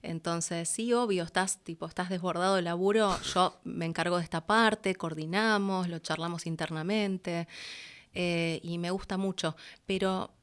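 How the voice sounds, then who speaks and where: A woman's voice: on the low side, steady tone, moderate pace, smooth. A woman with an Argentine Rioplatense accent telling stories conversationally as an interview guest, acting out bits of dialogue as she goes. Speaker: interview guest, voice-over artist and producer. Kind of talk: casual conversational storytelling.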